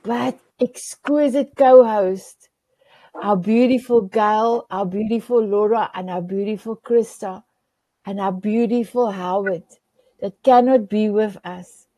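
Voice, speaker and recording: A woman's voice: mid-range voice, slow, warm tone, dry recording. A woman whose first language is Afrikaans, hosting a talk show in an effusive way, often searching for words. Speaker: show host.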